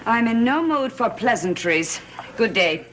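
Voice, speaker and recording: A woman's voice: a little high, lively intonation, measured pace, slight background noise. An actress playing a proud, scheming woman in a gothic soap opera, speaking in a haughty, dramatic manner. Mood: haughty, guarded. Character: proud, scheming small-town woman with lost occult powers. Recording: old TV soundtrack, loud music behind.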